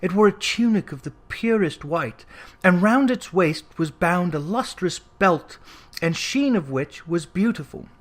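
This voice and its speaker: A man narrating a literary story from a script in a measured, expressive storytelling manner. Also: high-pitched. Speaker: audiobook narrator.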